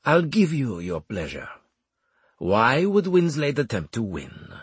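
A man's voice: medium pitch, huge pitch swings, slow, husky, no room sound, heavily acted. A man voicing a proud, theatrical military commander in an audiobook, with florid, mocking formal speech. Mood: irritated. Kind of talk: audiobook character acting.

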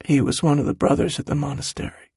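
A male narrator voices a frightened, ashamed man in an audiobook. He pleads hesitantly, raised in pitch and husky, evasive and fragile, in a dry, close sound. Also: level tone, quick pace, no room sound. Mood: quiet, ashamed. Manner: soft, faint, hesitant, pleading. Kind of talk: audiobook character dialogue.

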